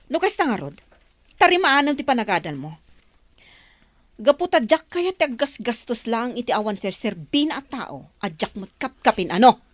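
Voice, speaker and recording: A woman playing a harsh, resentful mother in an audio drama, scolding and sharp-tongued. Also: on the high side, sing-song, moderate pace, dry recording. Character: harsh, resentful mother, suspicious of her adopted son.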